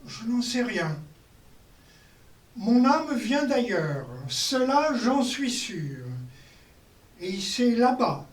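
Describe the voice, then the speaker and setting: A performer reciting poetry in a deliberate, declamatory way with long pauses. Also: medium pitch, very animated. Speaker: performer reading poems in a show. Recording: roomy, distant mic, faint noise.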